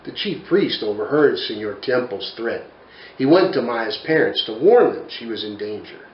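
A man's voice: mid-range voice, moderate pace, reverberant, highs cut off, slight background noise. A man in his forties reading a children's picture book aloud in a bookshelf-lined study, telling it expressively. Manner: expressive, animated storytelling. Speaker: storyteller.

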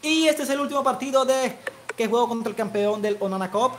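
A young man from Colombia's Caribbean coast with a coastal Colombian accent, casually chatting with viewers and calling a football video game match, his voice climbing when he shouts goal calls. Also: very high-pitched, fairly quick, a little hiss or hum. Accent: Colombian Caribbean coastal Spanish. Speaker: gaming streamer. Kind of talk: live football game commentary and chat.

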